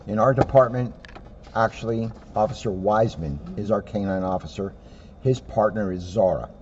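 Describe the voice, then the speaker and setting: A man talks casually, explaining things as a police officer. His voice is fairly low and very soft-edged and mellow. The sound is somewhat muffled, with faint noise. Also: normal speed. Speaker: police officer commenting during a read-aloud.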